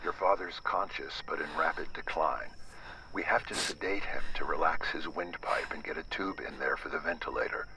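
An actor playing a grave, considerate doctor in an audio drama, speaking in a hushed, measured way. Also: male voice, extremely low pitch, slight background noise, exaggerated voice, rough recording. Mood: grave, gentle. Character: grave, considerate male doctor delivering bad news. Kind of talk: audio fiction acting.

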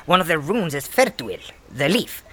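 Guarded, reluctant adventurer, fantasy audio drama. Low, bright and tense, curt delivery, faint noise. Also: female voice, big pitch swings, breathy edge, heavily acted. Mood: hesitant, guarded. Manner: curt, halting, defensive.

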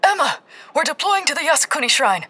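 Narrator doing a soldier on a call, strident, urgent, high bright voice. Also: very animated, fast, heavily acted. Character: strident soldier calling from a battlefield.

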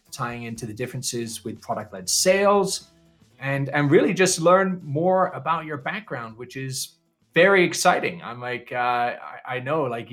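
A man's voice: mid-range voice, a bit bright. A man hosting a business podcast, introducing guests and asking questions with upbeat enthusiasm. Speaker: podcast host. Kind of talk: podcast interview.

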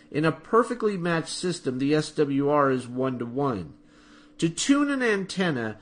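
A man hosting a podcast, reading a scripted explanation in a methodical, instructional way. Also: fairly high pitch. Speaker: podcast host.

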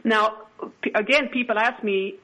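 A woman's voice: a little high, fairly even intonation, moderate pace, bright, forceful tone. A woman speaking as an interview guest in a conversational, assertive and emphatic way. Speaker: podcast producer, interview guest. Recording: dull, lo-fi radio-like audio.

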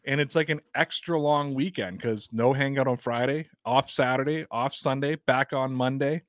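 A man hosting a sports podcast in casual chat, with energetic, rapid promo reading. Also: mid-range voice, no room sound, studio quality. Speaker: podcast host.

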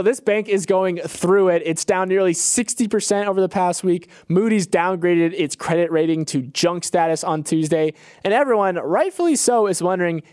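American guy cohosting a biz news podcast, kinda high voice, casual n emphatic, dry studio sound. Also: darkish tone, smooth, dry recording. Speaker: podcast co-host. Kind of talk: conversational business news commentary.

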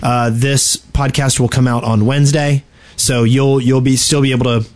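A man's voice: mid-range voice, little pitch movement, moderate pace. Playful, bantering man on a gaming podcast. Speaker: podcast co-host. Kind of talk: casual chat about video games.

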